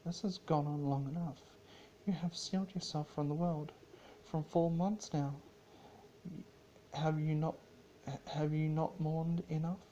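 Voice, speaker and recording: A man's voice: on the high side, fairly even intonation, unhurried, mellow, highs cut off, slight background noise, pitched above the performer's own voice, close to an everyday voice. A man voicing a concerned, firm younger pony princess, earnest and exasperated, in a dramatic story reading.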